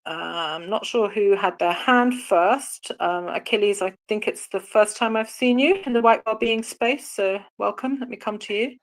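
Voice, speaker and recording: A woman hosting an online discussion, speaking in a measured, reflective way with some halting restarts. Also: medium pitch, rough recording. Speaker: host of an online audio chat room. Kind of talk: moderating a discussion.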